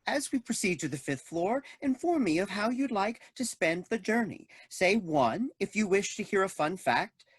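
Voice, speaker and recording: A woman's voice: mid-range voice, expressive, moderate pace, hardly any pauses, slightly rough, no room sound. A woman playing an officious, blithely ominous AI robot assistant in a staged comedy reading, speaking in formal automated announcements. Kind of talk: staged reading of a comic play.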